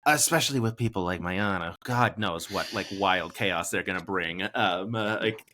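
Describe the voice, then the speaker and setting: A man hosting a casual interview, rambling and halting with lots of filler words. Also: deep voice, sharp tone, slight background noise.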